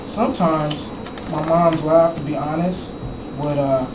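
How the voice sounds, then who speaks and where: A man reading a picture book aloud to children as its author, conversationally, pausing to explain the pictures and ask questions. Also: on the high side, fairly even intonation, dull audio. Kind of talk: storybook read-aloud with casual asides. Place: school classroom, indoors. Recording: echoey, noisy classroom, far from mic. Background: clear room noise with children present.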